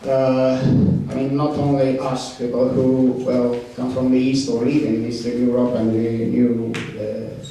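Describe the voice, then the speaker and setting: A man speaking on a discussion panel, halting, with many fillers and restarts. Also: mid-range voice, flat delivery, dark tone. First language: Romanian. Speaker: panel participant. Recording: echoey hall, distant mic, faint noise.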